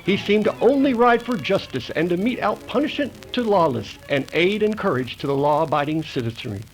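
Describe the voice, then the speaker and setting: A man's voice: high voice, animated, fairly quick, rough recording. An American man narrating an old-time western radio drama in a dramatic, grandiose manner. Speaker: announcer and narrator. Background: music.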